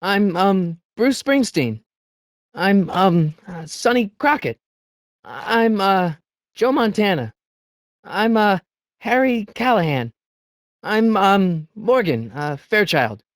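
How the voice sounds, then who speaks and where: An American teenage boy for a video game, with a high, youthful voice that jumps around expressively. He is excitable and wisecracking, with a hesitant, stammering boyishness. The sound is dry and clean, with no room around it. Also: slow pace, dry recording, heavily acted. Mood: nervous, hesitant. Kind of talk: video game voice acting, short character lines.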